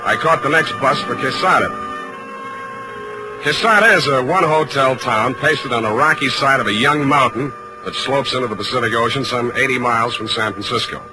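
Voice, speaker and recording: An American actor playing a wry, hard-boiled private detective in a deadpan, clipped 1940s radio style. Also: male voice, medium pitch, brisk, music in the background, close to an everyday voice. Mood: grim narration. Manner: deadpan, clipped narration and dry banter. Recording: noisy old radio drama recording.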